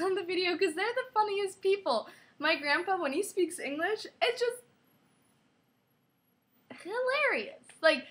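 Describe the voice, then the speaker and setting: High-voiced young woman vlogging. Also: bright, forceful tone. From Switzerland. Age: young adult. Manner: rambling, filler-heavy, strained while doing a headstand. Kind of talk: casual chat.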